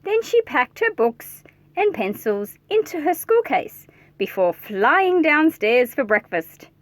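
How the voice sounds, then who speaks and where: A woman reading a children's picture book aloud in an expressive, playful way, speaking directly to her young listeners with chatty asides. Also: high voice, slow, dry recording.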